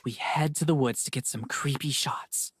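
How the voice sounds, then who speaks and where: A woman voicing an eager young woman video maker in a visual novel, pitching ideas with enthusiasm. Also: moderate pace, husky, heavily acted. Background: game music.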